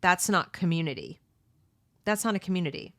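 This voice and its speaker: A woman's voice: animated, brisk, a bit bright. A middle-aged woman hosting a read-along book discussion, giving opinionated commentary and asking rhetorical questions. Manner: mocks others with high exaggerated voices.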